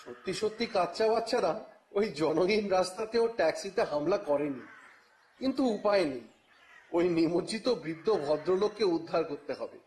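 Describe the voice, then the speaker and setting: A man narrating a story in the first person, with expressive, theatrical phrasing and a scripted dramatic style. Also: sits high, moderate pace, rough recording. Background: music.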